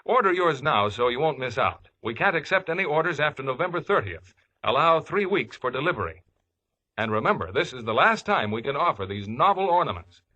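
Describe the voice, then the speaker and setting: Bright, animated American radio pitchman. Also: a little fast, low-quality recording. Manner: polished, salesmanlike, emphatic. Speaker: radio commercial announcer. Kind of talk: 1950s radio advertising read, scripted.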